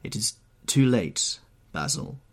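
A man voicing a bitter, troubled young gentleman, brooding and theatrical, in an audiobook story. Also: mid-range voice, very animated, normal speed, pitched above the performer's own voice. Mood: hopeless.

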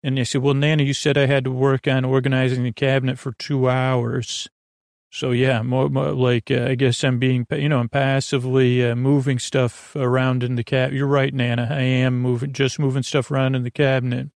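A man hosting a sleep podcast in a creaky voice, with a meandering, halting monologue full of repeats and tangents. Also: medium pitch, flat delivery, a little mellow, no echo, clean studio sound. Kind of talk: rambling bedtime monologue.

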